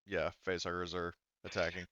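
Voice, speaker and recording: Man, low clear voice, halting game master, thinking aloud, dull dry audio. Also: monotone, fairly bright tone, no echo. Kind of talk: running an online tabletop roleplaying game.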